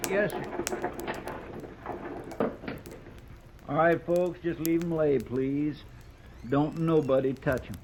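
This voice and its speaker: An actor playing a loyal, folksy deputy who speaks deferentially in an old-time radio western. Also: male voice, a little high, unhurried, background noise, lightly acted. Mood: obedient. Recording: old radio recording with music bed.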